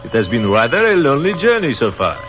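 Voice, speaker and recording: An actor playing a courteous artillery captain in a 1930s radio drama, formal and measured. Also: male voice, mid-range voice, very animated, fairly bright tone. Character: courteous French artillery captain, lonely traveller. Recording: dull old radio recording with faint hiss.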